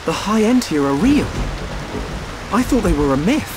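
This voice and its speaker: Earnest high-voiced young hero. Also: male voice, sing-song, normal speed, noisy background. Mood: pensive. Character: earnest, thoughtful young man hero, resolute. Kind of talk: video game cutscene voice acting.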